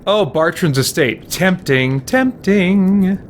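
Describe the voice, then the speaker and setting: A man's voice: high-pitched, animated, slow pace, noisy background. A man hosting a let's play, giving casual gameplay commentary. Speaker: let's play host and gamer. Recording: loud game music behind. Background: loud game music and sounds.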